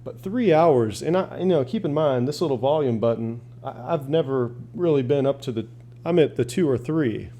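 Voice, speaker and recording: A man's voice: medium pitch, lively intonation, normal speed, dark tone. A man chatting casually and unscripted as a radio hobbyist showing his gear, rambling in a folksy, plain-spoken way. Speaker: shortwave radio hobbyist asking viewers for advice. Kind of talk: casual unscripted demonstration and chat.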